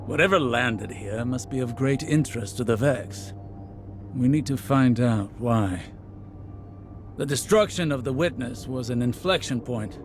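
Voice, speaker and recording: A man's voice: mid-range voice, a little slow, close to an everyday voice. A man voicing a serious, commanding sci-fi mentor giving a formal video game mission briefing. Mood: grave, purposeful. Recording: music behind the voice.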